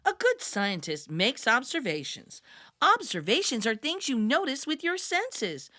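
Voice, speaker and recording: A woman reading a picture book aloud to young children with expressive, deliberate delivery and dramatic emphasis. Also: a little high, no echo, studio quality. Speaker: children's read-aloud storyteller.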